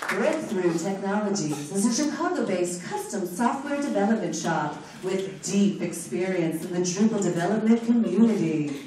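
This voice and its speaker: A woman announcing sponsors at a conference, loud and playful, joking between the scripted parts. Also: medium pitch, recorded from a distance. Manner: loud, animated, joking asides. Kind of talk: playful live announcements to an audience. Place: large conference room with an audience. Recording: echoey hall recorded from afar, crowd noise.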